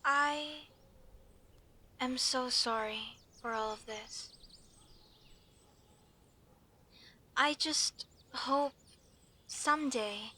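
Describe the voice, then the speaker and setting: Woman acting a high, bright young elf mage. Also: very slow, long pauses, exaggerated voice. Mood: soft, sincere. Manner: expressive, chatty, mock-indignant at times, softening to sincere. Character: earnest young female elf mage, proud, playfully teasing. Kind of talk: comedic audio roleplay, speaking intimately to the listener.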